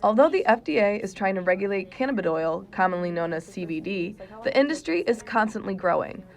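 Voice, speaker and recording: A woman's voice: medium pitch, animated, brisk. A young woman working as a student TV reporter, reading a scripted news report. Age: young adult.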